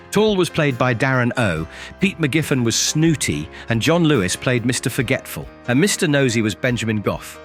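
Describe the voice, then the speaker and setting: A man's voice: medium pitch, close to an everyday voice. A man playing an inquisitive interviewer, narrating an audio drama in a scripted documentary style. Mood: announcing. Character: inquisitive male documentary interviewer in storybook world.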